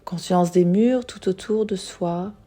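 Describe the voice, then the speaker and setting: A woman guiding a yoga nidra meditation in a soothing, unhurried manner, leaving long pauses. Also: fairly low pitch, dark tone. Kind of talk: guided meditation.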